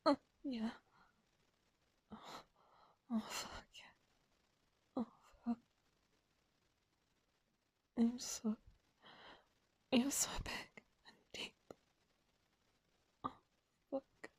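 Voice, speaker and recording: A woman's voice: fairly high pitch, fairly even intonation, extremely slow, pauses often, breathy edge, no echo. A woman in an intimate erotic audio roleplay, breathless and aroused, whispering between moans.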